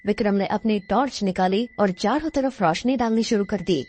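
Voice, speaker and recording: A woman's voice: mid-range voice, moderate pace, darkish tone, faint music behind. A woman reading scripted narration for a horror cartoon story.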